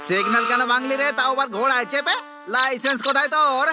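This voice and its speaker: A man's voice: very high voice, fairly even intonation, fast. A man voicing a bossy, petty traffic policeman in a comic cartoon, scolding and badgering. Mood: scolding, threatening. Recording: music behind the voice.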